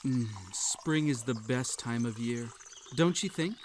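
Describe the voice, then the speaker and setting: A man's voice: mid-range voice, unhurried, slight background noise, lightly acted. A man playing an unhinged, possessive bunny hosting a sinister tea party in a roleplay audio drama, coaxing and falsely polite. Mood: seductive, inviting. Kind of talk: scripted roleplay audio drama addressed to the listener.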